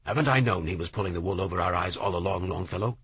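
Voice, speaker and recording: A man voicing an impulsive, forceful poet who speaks urgently and bluntly in a storybook reading. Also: low-pitched, quick pace, husky, no echo, exaggerated voice.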